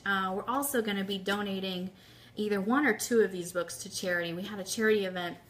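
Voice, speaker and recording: A woman's voice: mid-range voice, a bit bright. Chatty, enthusiastic woman hosting a livestream. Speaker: radio show host. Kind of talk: casual livestream chat with book read aloud.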